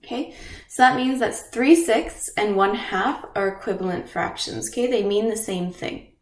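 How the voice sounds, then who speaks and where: A woman's voice: medium pitch, moderate pace. A woman teacher with a stuffy nose from a cold, patiently explaining fractions step by step and often checking in with "okay?". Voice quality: nasal. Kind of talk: recorded math lesson. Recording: echoey room.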